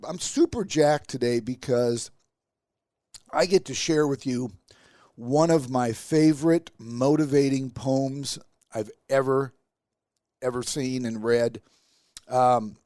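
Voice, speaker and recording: A man's voice: medium pitch, darkish tone, no echo, studio quality. A man hosting a motivational podcast, sounding nasal from a stuffed-up sinus, talking in an emphatic, rallying way. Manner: emphatic, repetitive, rallying delivery. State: sinus congestion. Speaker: podcast host and motivational speaker.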